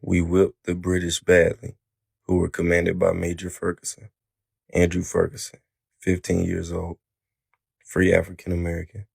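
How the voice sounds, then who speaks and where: Man, deep, very flat monotone, historical account reading. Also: moderate pace, creaky, husky, no room sound, studio quality. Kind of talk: scripted reading of a first-hand account.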